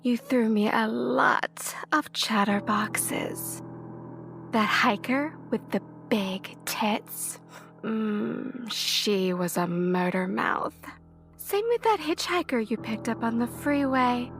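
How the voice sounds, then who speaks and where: A woman's voice: on the high side, takes it slowly, creaky, a bit bright, faint music behind, full character voice. An actress playing an ancient serpent monster posing as a seductive woman, mocking her prey in a sing-song, mock-sweet way for an audio drama. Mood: teasing, gloating.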